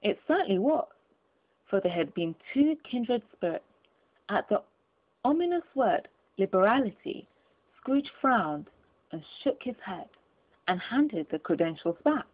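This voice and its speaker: A woman's voice: medium pitch, very animated, measured pace. A woman reading a classic storybook aloud as scripted narration. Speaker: audiobook narrator. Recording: muffled phone-like narrow sound.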